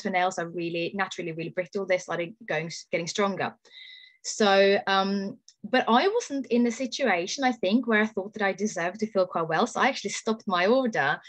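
A woman's voice: medium pitch, no room sound. A woman in her thirties from Norway, a native Norwegian speaker, giving an informal personal testimonial on a video call. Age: 30s. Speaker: product distributor giving a testimonial. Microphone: video call, computer microphone.

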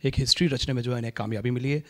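Middle-aged man, Indian accent, average pitch, news interviewer, handheld mic. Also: steady tone, racing, a bit bright. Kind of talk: TV news interview questions and introductions. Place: indoor living room, seated interview. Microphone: handheld reporter mic.

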